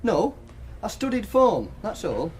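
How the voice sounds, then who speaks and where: Easygoing young student, Northern English accent, radio drama, fairly high voice, lively intonation. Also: male voice, measured pace, a little hiss or hum. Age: young adult. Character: easygoing young university student, modest betting winner. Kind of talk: naturalistic British radio drama acting.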